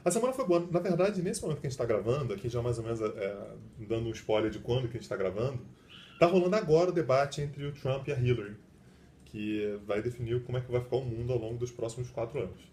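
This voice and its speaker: Man, very fast talker, joking co-host, faint background noise. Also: medium pitch, lively intonation, darkish tone. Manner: joking, informal, rapid banter. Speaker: co-host, professor. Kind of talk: casual chat.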